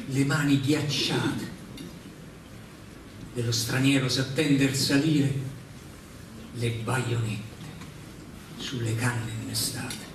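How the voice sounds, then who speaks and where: A man gives a dramatic theatrical recitation of verse, solemn and declamatory, with measured pauses. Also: mid-range voice. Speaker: stage performer reciting poetry. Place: theater stage, recorded from the audience. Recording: echoey theater from far off, clear noise.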